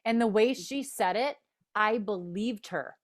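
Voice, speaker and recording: A woman with a Minnesota accent hosting a panel conversation over a video call, upbeat and enthusiastic as she introduces her guests. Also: medium pitch. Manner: upbeat, enthusiastic, quick restarts. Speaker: host.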